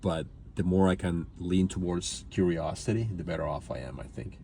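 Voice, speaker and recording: A man's voice: sits low, moderate pace, creaky, dark tone, a little hiss or hum. A man from Finland speaking reflectively as a guest in a conversational interview. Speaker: interview guest, entrepreneur and business coach.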